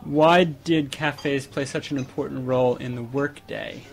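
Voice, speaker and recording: A man's voice: mid-range voice, steady tone, unhurried, vocal fry. A man hosting a podcast, asking questions with some hesitant fillers. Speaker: podcast host interviewer. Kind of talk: interview questions.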